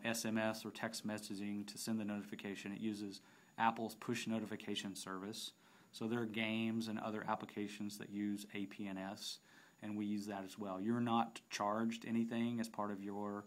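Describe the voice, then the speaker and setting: A man narrating an unscripted software demonstration, explaining step by step with halting phrasing and repeated words. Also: fairly low pitch. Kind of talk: unscripted app tutorial narration.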